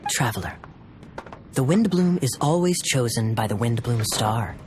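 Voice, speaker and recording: Over clear background music, a suave young captain played by a man talks with theatrical flair. His mid-pitched voice rises and falls freely, wry and teasing, in polished game-character style. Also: lively intonation, unhurried, lightly acted. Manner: smooth-talking, wry, theatrical. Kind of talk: English video game voice acting. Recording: music playing behind the voice.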